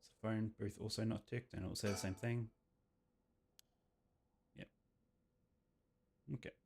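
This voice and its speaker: A man's voice: on the low side, fairly even intonation, measured pace, background noise. A man casually commentating on a game as he plays, thinking aloud and reading on-screen text. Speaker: game streamer. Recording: game music behind the voice.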